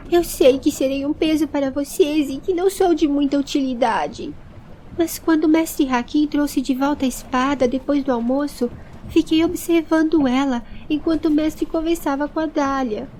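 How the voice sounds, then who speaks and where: A woman's voice: high voice, normal speed, warm tone, a little hiss or hum, voice pushed up, close to an everyday voice. A woman voicing a nervous, earnest young boy in an audio story, plaintive and apologetic. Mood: tearful, earnest.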